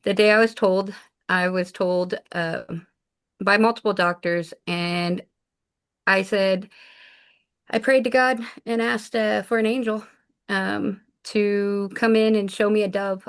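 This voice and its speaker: A woman guest in a casual interview recounts her past in an earnest, reflective manner. Her voice sits at a middle pitch with a gravelly, creaky edge. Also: steady tone, measured pace. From Japan. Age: adult mother of four. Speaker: guest, shaman.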